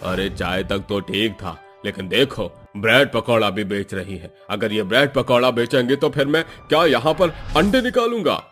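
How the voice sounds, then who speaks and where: A man's voice: fairly low pitch, moderate pace, hardly any pauses, fairly bright tone, soft background music. A man voicing a resentful, nervous, scheming village shopkeeper in a cartoon, complaining in exclamations. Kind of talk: Hindi cartoon story acting.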